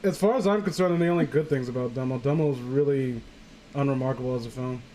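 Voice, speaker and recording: A man's voice: mid-range voice, fast, mellow, rough recording. A man hosting a casual, comedic read-aloud of a story, stumbling over his words and going off on tangents. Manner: stumbles over words and digresses while reading. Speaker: host reading a horror story aloud with commentary. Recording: clear background noise.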